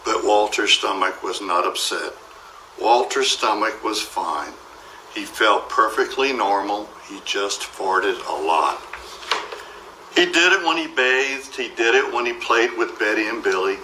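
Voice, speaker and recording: A man reading a children's story aloud in an expressive way, acting out the dialogue. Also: lowish voice, unhurried, recorded from a distance. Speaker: storybook reader. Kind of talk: scripted children's story narration. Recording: roomy echo, faint background noise.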